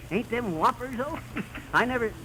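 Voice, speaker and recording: A blustering American fibber in a 1930s radio comedy. His voice is very high and jumps around eagerly as he brags in folksy slang. The old broadcast is clearly noisy. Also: exaggerated voice. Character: blustering small-time fibber showing off his treasures.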